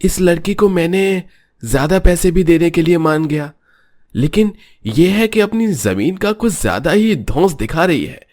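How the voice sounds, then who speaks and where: A man's voice: fairly high pitch, expressive, unhurried, a little mellow. Man playing a scheming greedy landowner. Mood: resentful. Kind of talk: cartoon story voice acting.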